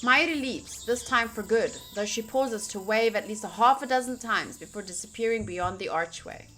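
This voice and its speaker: A young woman reading visual novel narration aloud as a streamer, expressive and informal, with casual asides. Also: a little high, moderate pace, faint music behind.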